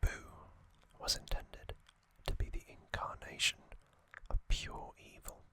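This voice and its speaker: An ASMR host whispering slowly, rambling through trivia with fillers and musings. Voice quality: unvoiced whisper.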